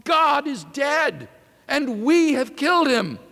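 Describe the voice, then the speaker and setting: A man's voice: very high voice, very animated, slow pace, vocal fry, bright, forceful tone, voice pushed up, full character voice. A man voicing an anguished, accusing madman with declamatory, urgent delivery in a dramatic reading. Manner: declamatory, urgent rhetorical questions. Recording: reverberant lecture hall.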